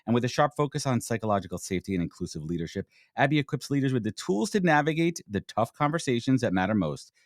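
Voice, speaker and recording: A man with a Brooklyn accent hosting a podcast, energetic, blunt and joking. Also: medium pitch, quick pace, few pauses, no echo, clean studio sound. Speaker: podcast host. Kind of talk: casual interview chat.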